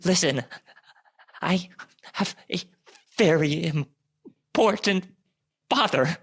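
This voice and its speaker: A man's voice: sits high, expressive, slow, a bit bright, breathy edge, voice pushed up, exaggerated voice. A man playing a haughty, cowardly rich young man in a radio play, pompous and prone to stammering when afraid. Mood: scared, stammering. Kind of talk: improvised radio play acting.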